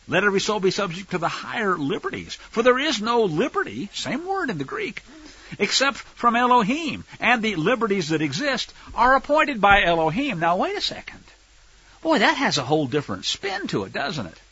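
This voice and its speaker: American guy preaching, husky voice that swings all over, sarcastic and punchy, dry lo-fi sound. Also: high voice, wildly swooping pitch, normal speed, sharp tone, no echo. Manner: emphatic, sarcastic, rhetorical questions. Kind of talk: sermon, Bible teaching with political commentary.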